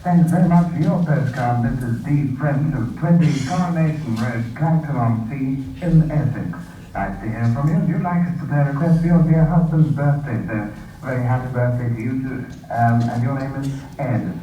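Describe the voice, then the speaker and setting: A man's voice: mid-range voice, normal speed, dark tone, slight background noise. A man presenting a 1960s radio request show, reading listener dedications in a friendly announcer manner. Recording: dull roomy distant archive radio recording.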